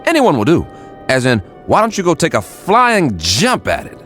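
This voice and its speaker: A man playing an irritable, weary space traveller in an audio drama, gravelly and sardonic, with dramatic emphasis. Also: on the high side, huge pitch swings, normal speed, fairly bright tone, soft background music. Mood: sardonic, irritated. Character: weary, irritable male space traveller confined aboard ship. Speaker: lead actor in an audio drama. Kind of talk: radio drama dialogue and first-person narration.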